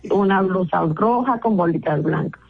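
A woman's voice: fairly low pitch, normal speed, creaky, narrow, tinny audio. A woman in a casual phone chat, talking politely and patiently with an amused manner. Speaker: phone participant in a radio prank.

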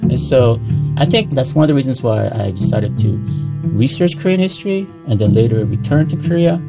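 A man with an American accent speaking reflectively in an interview, explaining history in a halting, thoughtful way. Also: mid-range voice, expressive, vocal fry, loud music behind the voice. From United States.

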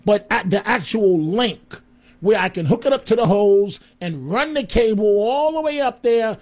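A man hosting a call-in radio show, friendly and exclamatory, with rambling asides. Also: high voice. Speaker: radio talk show host.

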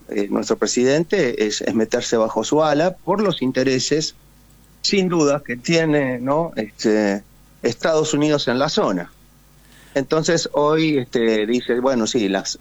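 A man's voice: medium pitch, no room sound. A man with an Argentine accent, a veteran guest on a radio call, talking casually and hesitantly with many fillers and tag questions. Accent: Argentine Spanish. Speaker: guest, war veteran and writer. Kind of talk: casual phone interview.

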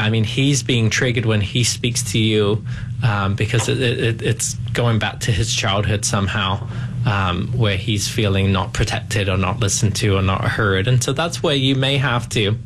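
Low-voiced man counseling a caller. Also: noisy background. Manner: measured, reflective, hedging. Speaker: radio co-host, counselor. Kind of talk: call-in advice.

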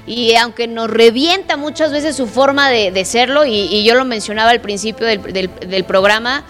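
A woman's voice: on the high side, normal speed, fairly bright tone, faint music behind. A woman with a Mexican accent debating casually as a panelist on a sports talk show.

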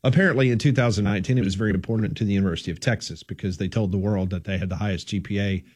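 A man's voice: lowish voice, rapid-fire, a little mellow, husky. A man hosting a sports talk radio show, easygoing and folksy, who also reads the sponsor ads himself. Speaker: radio host.

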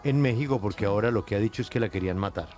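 A man hosting a morning talk show, bantering quickly and teasing his co-hosts. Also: fairly low pitch, a little mellow, soft background music. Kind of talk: brisk, joking studio banter.

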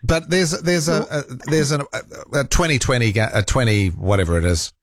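A man's voice: medium pitch, normal speed, no room sound, clean studio sound. A man hosting talkback radio, chatting in an easygoing way with stumbling restarts. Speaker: radio talk show host. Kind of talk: casual talkback radio chat. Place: radio studio.

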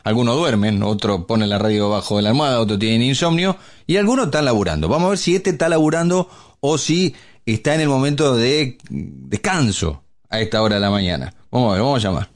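A man's voice: medium pitch, lively intonation, fairly quick. A man in his late forties with an Argentine accent, hosting a casual, jokey radio phone-in. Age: late 40s. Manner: jokey, colloquial. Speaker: radio host.

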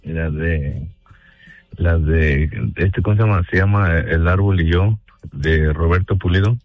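Guy calling a radio show, lowish voice, joking around, stumbles and repeats himself, bit of music under. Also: unhurried, no echo, dull audio. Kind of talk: casual joking chat.

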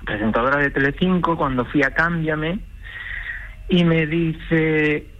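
A woman's voice: sits low, steady tone, measured pace, muffled recording. A woman giving personal testimony as a radio interview guest, telling her story animatedly and acting out quoted dialogue. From Galicia, Spain. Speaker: interview guest, truck driver.

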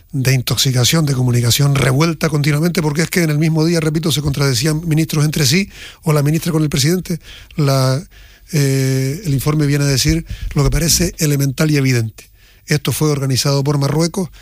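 A man's voice: medium pitch, no room sound, studio quality. A man making emphatic, critical points as a panelist in a televised political debate.

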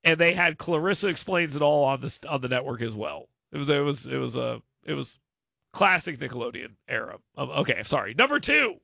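Bright, gravelly man joking on a podcast. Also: a little high, brisk, dry recording, clean studio sound. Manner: joking, blunt, animated. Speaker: podcast host. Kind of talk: casual comedic chat.

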